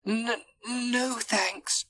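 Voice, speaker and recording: A woman's voice: sits low, very animated, measured pace, fairly bright tone, slightly rough, pitched above the performer's own voice, heavily acted. A woman voicing a timid, polite, hesitant male character in a children's storybook. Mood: nervous.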